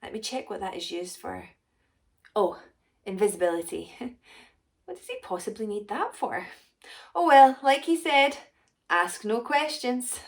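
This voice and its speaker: A woman's voice: medium pitch, animated, measured pace, pauses often. Woman acting a dramatic, boastful alien potion maker. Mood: puzzled. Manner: dramatic, exclamatory, self-important. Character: short, boastful, rich female alien potion maker, excitable. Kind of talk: first-person children's story narration.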